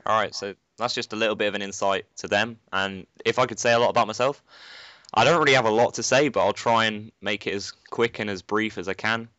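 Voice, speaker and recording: A man's voice: lowish voice, quick pace, sharp tone, dry recording, lo-fi sound. A teenage boy hosting a casual gaming commentary, chatty and rambling with lots of filler phrases. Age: teens. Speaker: channel host, gamer.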